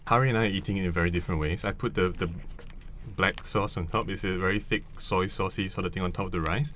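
Quick-talking man from Singapore. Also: sits low, steady tone, fairly bright tone, slight background noise. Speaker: guest colleague. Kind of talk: casual chat.

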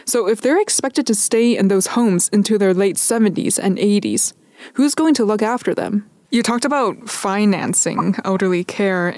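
Woman, podcast host, mid-pitched voice, articulate, measured journalistic delivery, dry studio-like sound. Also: darkish tone, dry recording. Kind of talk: scripted narration and interview questions.

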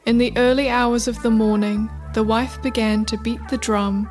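A woman's voice: fairly high pitch, flat delivery, moderate pace. A woman narrator reading a scripted radio play in a storybook style. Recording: faint music under narration.

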